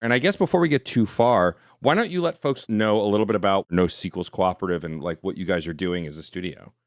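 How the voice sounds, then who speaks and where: A man's voice: low-pitched, fast, dry recording, studio quality. A man co-hosting a gaming podcast, enthusiastic and rambling, full of "like"s and laughs. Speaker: podcast co-host. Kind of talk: casual podcast chat.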